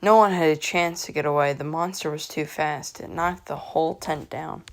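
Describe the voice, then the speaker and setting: A woman's voice: sits low, steady tone, normal speed. A young woman reading a children's mystery story as scripted narration. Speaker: storybook reader.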